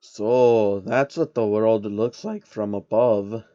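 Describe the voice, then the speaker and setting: A man voicing a sly, elderly male billionaire manipulator in a slow, measured way for a fan story. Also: lowish voice, mellow, dry recording, dull audio. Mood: curious, calculating. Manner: slow, measured, drawn-out delivery.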